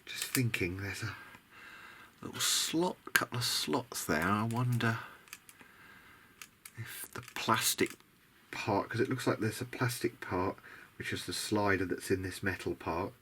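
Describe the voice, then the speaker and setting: Low-voiced man musing aloud over noise. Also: a little slow, long pauses, sharp tone. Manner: musing, tentative, thinking aloud. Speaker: video diary host, electronics hobbyist. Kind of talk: casual unscripted bench commentary while working. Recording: noticeable background noise.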